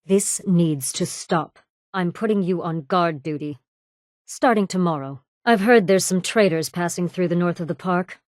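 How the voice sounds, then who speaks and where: An actress voicing a ruthless, menacing raider gang leader in a video game, cold, commanding and sardonic. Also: female voice, on the low side, moderate pace, dry recording, clean studio sound, lightly acted. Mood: stern, commanding. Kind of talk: video game character dialogue.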